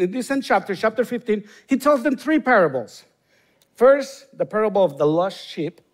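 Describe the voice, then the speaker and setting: A man in his forties from Honduras with a Honduran Spanish accent, a guest pastor preaching a sermon and praying, earnest and rising to emphatic bursts. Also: high-pitched. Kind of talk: sermon with prayer. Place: large church stage, indoors.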